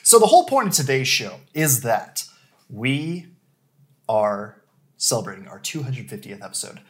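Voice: medium pitch, sing-song, moderate pace, slight background noise. A podcast host chatting casually on a live stream in a jokey, slightly theatrical way.

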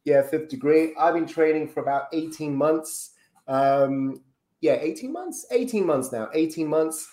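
Brazilian-born man, relaxed guest, roomy echoey sound. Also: on the high side, unhurried. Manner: relaxed, chatty, many ums and tag questions. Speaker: podcast guest. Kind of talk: casual interview chat. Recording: roomy echo.

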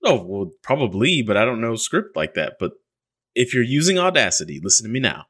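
A man's voice: medium pitch, sing-song, brisk, a bit bright, husky. A man hosting a podcast, chatting loosely and rambling, dropping a casual swear now and then. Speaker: podcast host. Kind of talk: casual chat.

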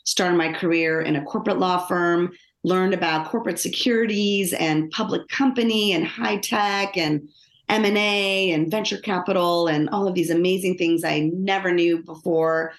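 A woman's voice: lowish voice, fairly even intonation, moderate pace. A middle-aged woman with an American accent, telling her own story conversationally as an interview guest. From Los Angeles, United States. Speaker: podcast guest, lawyer and author. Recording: roomy, echoing sound.

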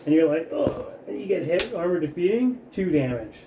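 A man's voice: high-pitched, very animated, a little fast, distant mic, background noise. A man running a tabletop role-playing game as game master, describing the scene to the players in a laid-back way. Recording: roomy, from off mic.

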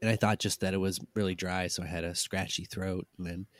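Slightly congested young man, low and dry. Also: flat delivery, a little fast. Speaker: podcast co-host. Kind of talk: casual chat.

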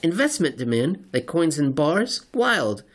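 A man's voice: on the high side, very animated, unhurried, pitched above the performer's own voice. A man voicing a crazed, sleepless male conspiracy theorist in an audio story, rambling and earnestly paranoid. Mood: manic, earnest. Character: crazed sleepless conspiracy-obsessed male spaceship companion, manic.

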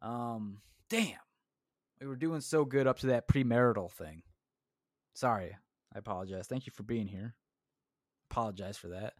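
A man's voice: mid-range voice, moderate pace, pauses often, dry recording, studio quality. A young man hosting his own podcast, rambling crudely and mocking himself, swearing often. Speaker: podcast host. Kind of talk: solo comedic podcast rant.

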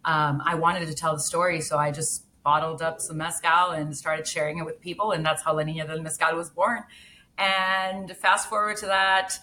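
Bright-voiced woman in casual talk. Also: low-pitched, level tone, normal speed, slight background noise. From Mexico. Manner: earnest, reflective, with frequent restarts. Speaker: guest, beverage brand founder. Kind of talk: casual interview chat.

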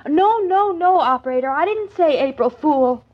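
High-voiced spirited young American wife. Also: female voice, expressive, normal speed. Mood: exasperated. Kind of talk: 1940s radio drama acting. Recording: dull old radio recording.

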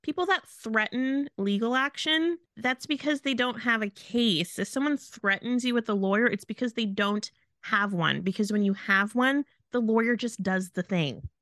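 A woman's voice: on the high side, normal speed, a bit bright, no room sound, clean studio sound. A woman co-hosting a podcast with casual, opinionated commentary, emphatic and incredulous. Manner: incredulous, emphatic, rhetorical questions. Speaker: podcast host.

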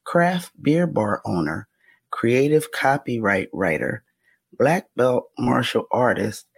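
Woman podcast host, low raspy husky voice, chatty, dry close mic. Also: expressive, takes it slowly, no room sound. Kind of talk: casual interview chat.

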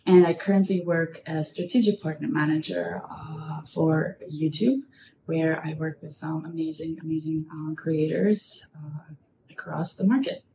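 A young woman from Russia speaks as an interview guest in a conversational way. Her voice is quite low for a woman. The recording is echoey, with faint noise in the background. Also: steady tone, unhurried, creaky, mellow. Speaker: podcast guest, marketing professional.

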